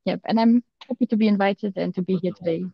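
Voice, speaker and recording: A woman's voice: mid-range voice, fast talker, gravelly. A woman in her thirties working as a consultant, introducing herself politely and a little hesitantly on a webinar. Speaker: consultant and integration expert. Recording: dull, lo-fi call audio.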